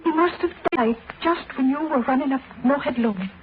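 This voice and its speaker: An actress playing an anxious, sorrowful village neighbour with an Irish accent in old-time radio drama style. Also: female voice, high voice, a little fast, close to an everyday voice. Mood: grieving. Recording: old radio recording, muffled, faint hiss.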